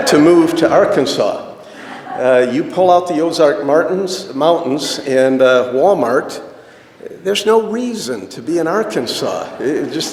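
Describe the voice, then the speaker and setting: A man's voice: medium pitch, darkish tone. Joking man speaking in an echoey hall. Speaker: speaker at society dinner meeting. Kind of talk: informal off-the-cuff speech. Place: indoor dinner meeting room. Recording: echoey hall, faint background noise.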